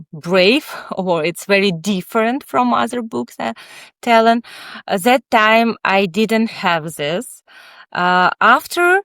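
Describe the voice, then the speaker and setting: Woman, halting and candid, lots of um and uh, smooth clear voice. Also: medium pitch, a bit bright, no echo, clean studio sound. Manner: halting, thoughtful, frequent pauses and fillers; candid, reflective. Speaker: guest author, child psychotherapist. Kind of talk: podcast interview answers.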